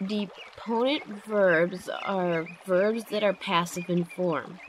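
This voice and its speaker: A woman's voice: mid-range voice, takes it slowly, slight background noise, close to an everyday voice. A woman playing a level-headed, studious young female character in an amateur radio drama. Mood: studious, halting.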